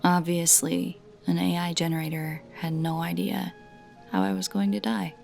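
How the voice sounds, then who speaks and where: A woman narrating a scripted first-person creepy story in a measured way, with dramatic pauses between short phrases. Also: lowish voice, level tone, creaky, a little mellow, soft background music.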